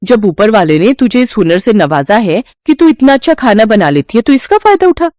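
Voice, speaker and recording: A woman voicing a talkative, cheerful female neighbor in a cartoon story, lively and encouraging. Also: a little high, moderate pace, no room sound, studio quality.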